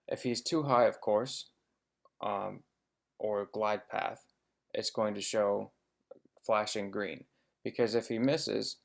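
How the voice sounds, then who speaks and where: A man's voice: lowish voice, gravelly. A man giving an informative slide presentation as an air traffic controller, explaining things plainly with touches of dry humour.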